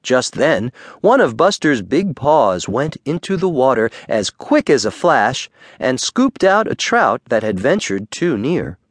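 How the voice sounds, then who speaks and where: A man narrating a children's bedtime story audiobook with expressive, deliberate storytelling. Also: medium pitch, dry recording.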